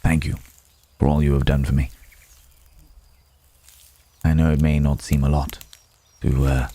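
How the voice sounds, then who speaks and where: A man's voice: very deep voice, little pitch movement, measured pace, mellow, dry recording, full character voice. A man playing a courteous, guarded werewolf from the city in an intimate ASMR roleplay, speaking in hesitant, formal, polite phrases. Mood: grateful, gentle.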